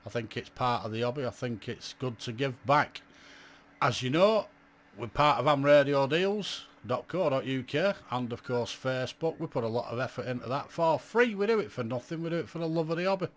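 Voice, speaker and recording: A man's voice: mid-range voice, expressive, a little fast. Blunt chatty man in his fifties. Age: 50s. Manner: blunt, chatty, self-described grumpy, lots of "you know". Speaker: radio amateur vlogger. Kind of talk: reflective casual monologue to camera.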